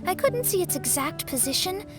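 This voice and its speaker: A proud, earnest young astrologer speaks in a high, expressive voice with articulate, slightly haughty phrasing. It is anime-style game acting, with music playing clearly behind. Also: female voice, normal speed. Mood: serious.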